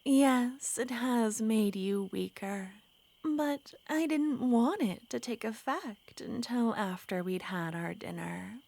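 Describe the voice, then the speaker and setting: Brief: a woman as a sweetly menacing young witch, breathy close whispers, very slow delivery. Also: a little high, expressive, darkish tone, exaggerated voice. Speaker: ASMR roleplay performer. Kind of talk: ASMR roleplay.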